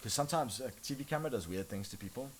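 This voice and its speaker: A man's voice: medium pitch, animated, very fast, slightly rough, rough recording. A man with a South African accent hosting a podcast in casual, swearing chat. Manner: chatty, swears casually, enthusiastic. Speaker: podcast host.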